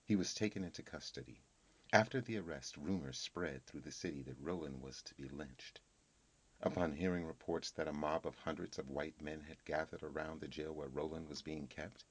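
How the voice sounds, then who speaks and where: A man's voice: very deep voice, breathy edge, poor audio. A man reading a scripted encyclopedia article as a narrator.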